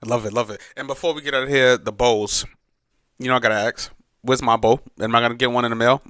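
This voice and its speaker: A bright-voiced New York man DJ chatting in slang from a dry, close radio booth, interviewing a music guest. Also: medium pitch, steady tone, quick pace, clear, resonant tone, no room sound. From New York, United States. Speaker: podcast host and radio DJ. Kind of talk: casual interview with slangy hype.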